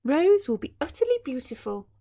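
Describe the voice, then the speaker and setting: A woman voicing a gentle, kindly storybook fairy, sweetly declaring wishes. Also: high-pitched, sing-song, moderate pace, voice pushed up. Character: gentle, kindly good fairies granting wishes.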